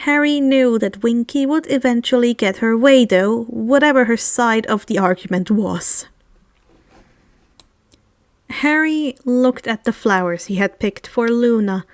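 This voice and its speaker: A woman's voice: fairly high pitch, measured pace, dry recording, clean studio sound. A woman narrating a story expressively as an amateur audiobook reader, now and then stumbling slightly over a word.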